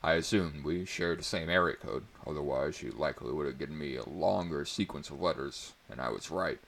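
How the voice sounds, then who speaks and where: A man's voice: very deep voice, fairly quick, gravelly, fairly bright tone. A man narrating a first-person story as a dramatic reading.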